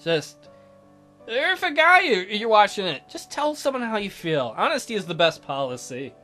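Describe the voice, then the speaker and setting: Bright, expressive man reading a visual novel. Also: high voice, moderate pace. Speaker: let's play host. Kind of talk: reading visual novel narration aloud with casual asides. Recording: soft music behind the voice.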